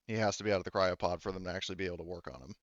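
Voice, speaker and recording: A man running a tabletop roleplaying game, casually describing a scene in a halting, rambling way. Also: low-pitched, no room sound, dull audio. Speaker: game master.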